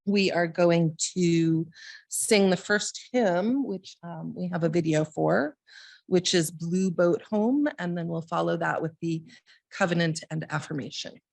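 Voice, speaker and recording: A woman with a gravelly edge to her slightly low voice leads a service as a lay worship leader. She reads and gives informal directions in an unhurried, measured manner. The sound is dry and close. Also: fairly even intonation, a little mellow, no echo. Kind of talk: informal service leading and read-aloud readings.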